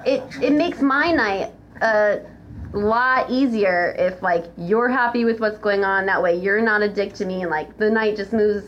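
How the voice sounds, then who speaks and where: A woman's voice: medium pitch, a little slow. A woman chatting casually on a podcast, blunt and profane, peppering her talk with filler words. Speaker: podcast panelist, server. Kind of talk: casual chat. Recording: dull, clearly noisy audio.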